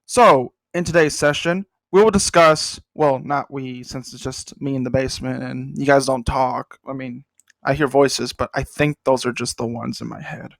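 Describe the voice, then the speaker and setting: A man's voice: medium pitch, fairly even intonation, moderate pace, vocal fry, no echo. A young man hosting a casual comedic anime commentary, rambling with self-deprecating jokes muttered under his breath. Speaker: host.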